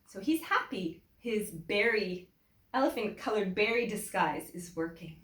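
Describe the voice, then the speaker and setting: Woman reading storytime slowly in an echoey room. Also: medium pitch, expressive, fairly bright tone. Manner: deliberate, with emphatic phrasing for young listeners. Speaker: teacher reading a picture book aloud. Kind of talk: expressive children's storytime read-aloud.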